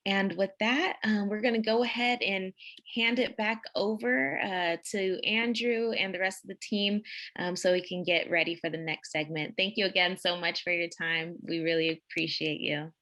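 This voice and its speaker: A woman moderator wraps up a session, thanking the speaker repeatedly and using many fillers. Her voice sits fairly low but has a bright edge. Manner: warmly thankful, filler-heavy wrap-up. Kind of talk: closing remarks.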